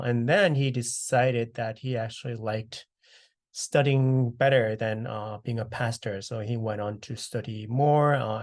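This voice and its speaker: A man in his thirties from South Korea, answering oral history questions over a video call, relaxed and full of "uh" and "you know". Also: mid-range voice. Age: 30s. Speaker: interviewee.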